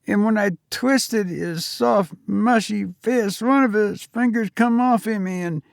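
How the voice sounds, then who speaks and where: Guy doing a jumpy young cockney manservant, high raspy voice, dry close sound. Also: unhurried, no room sound. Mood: fearful. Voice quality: rough working-class speech, many "sir"s. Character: young male Cockney servant, nervous, deferential.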